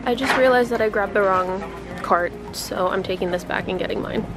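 A woman's voice: medium pitch, brisk, over loud music. Young woman vlogger, rambling casual chat to camera at arm's length. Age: young adult. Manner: chatty, rambling, filler-heavy. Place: grocery store, car and bedroom. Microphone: handheld camera at arm's length.

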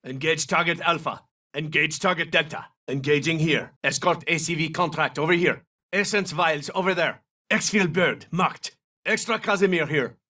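High-pitched, forceful male soldier. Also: moderate pace, distant mic. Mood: terse, focused. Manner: clipped, commanding, tactical. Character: tough, terse male special forces soldier. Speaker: video game operator voice actor. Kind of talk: military shooter game callouts, short barked lines.

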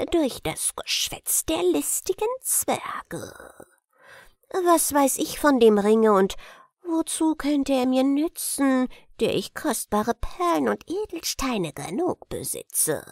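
A woman voicing a sly, haughty gnome king in a fairy tale, coaxing with slow pomp and breathy, whispered touches. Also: sits high, darkish tone, no room sound, clean studio sound, voice pushed up, full character voice. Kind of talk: fairy-tale audiobook reading.

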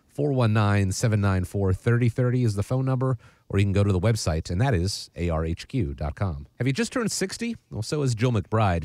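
A young male host talks quickly and briskly, moving through plugs and questions with a promotional push. His voice sits a little low. The sound is close and dry. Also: darkish tone, no room sound. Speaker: podcast host. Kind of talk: casual podcast talk with ad reads.

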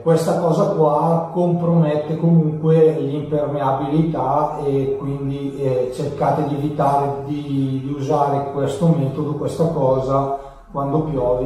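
Male presenter, 30s, mellow and halting, explaining things casually; very roomy, distant sound. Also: medium pitch. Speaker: motovlogger presenting a tutorial. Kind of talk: casual unscripted tutorial talk. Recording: echoey room, distant camera mic.

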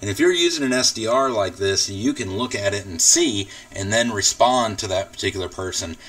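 An American man giving a casual, informal software tutorial as he explains things step by step. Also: fairly low pitch, a little fast, distant mic, low-quality recording. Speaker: tutorial presenter, radio hobbyist. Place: indoors at a computer.

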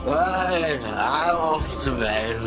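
Man playing a pompous actor, loud music behind. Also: mid-range voice, lively intonation, drawn-out words. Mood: haughty, tired. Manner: haughty, dismissive, sneering. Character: pompous, rude, self-important male film and TV actor. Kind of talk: amateur radio play acting. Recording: music playing loudly over the voice.

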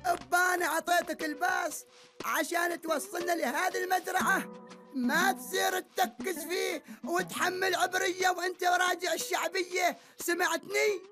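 A woman voicing a bossy middle-aged mother in a cartoon, with an Emirati Arabic accent, shouting orders. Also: extremely high pitch, fairly even intonation, normal speed, faint music behind. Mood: scolding. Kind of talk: Gulf Arabic cartoon dub.

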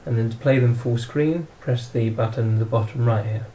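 A man with a mid-pitched, mellow voice talks through how a device works. His delivery is matter-of-fact and instructional, like a straightforward demonstration. Some ambient noise sits behind the muffled recording. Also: brisk. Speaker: product demonstrator. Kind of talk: instructional product walkthrough narration.

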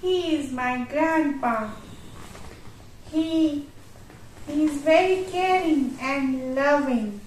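A woman's voice: high voice, a little mellow, slight background noise. A woman voicing a cheerful, friendly young puppet dog in a children's show, talking to little viewers in a sing-song, repetitive way. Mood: affectionate, excited. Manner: slow, sing-song, repeats questions to children. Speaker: puppet show presenter for toddlers. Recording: echoey room, music behind.

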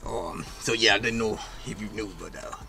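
A man's voice: on the low side, normal speed, gravelly. A man playing a sleazy Southern backyard mechanic. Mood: salesy. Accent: Memphis Southern. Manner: slick drawling, rambling, sly. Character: sleazy flirtatious middle-aged male backyard mechanic. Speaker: comedy skit actor. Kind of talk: improvised comedy skit. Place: outdoors in a suburban driveway.

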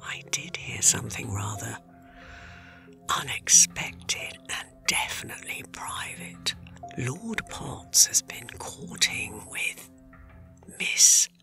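An actor playing a discreet, anxious household servant in an audio drama, a breathy creaky voice and a proper, deferential manner. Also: mid-range voice, sing-song, takes it slowly, pushed and bright, in a whisper, soft background music, exaggerated voice. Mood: hushed, confiding.

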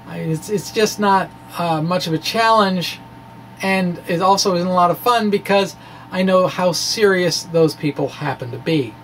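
Theatrical, wry man over clear background noise. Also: high-pitched, normal speed, fairly bright tone, poor audio. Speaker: science blogger and presenter. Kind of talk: dramatic reading of a physics blog with casual asides. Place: small indoor room with bookshelves. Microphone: microphone on a stand in front of him.

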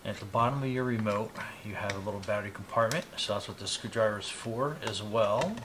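A man in his fifties giving a casual, unhurried product demonstration, explaining each step informally as he goes. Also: lowish voice. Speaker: product reviewer demonstrating gadgets on his channel. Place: small indoor hobby room at a desk. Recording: clear background noise, clicks of handled items.

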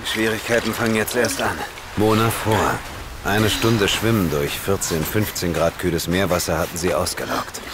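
A man plays a sober hero of a horror radio drama, narrating in the first person. The voice is low and terse, with clear ambient noise behind it. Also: natural voice. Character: male ghost hunter, sober hero narrating his ordeal.

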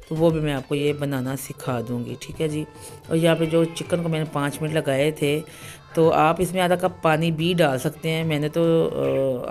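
A woman's voice: deep voice, darkish tone. A woman, hosting a home cooking channel, talking through a recipe in a chatty voice-over full of fillers. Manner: chatty, repeats "ठीक है जी", many fillers.

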